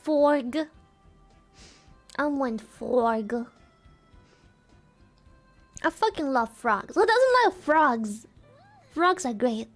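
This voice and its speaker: A woman's voice: high-pitched, expressive, drawn-out words, long pauses, soft background music. A woman streamer chatting casually with her viewers, giggly and playful, her throat sore and dry. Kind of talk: casual live-stream chat.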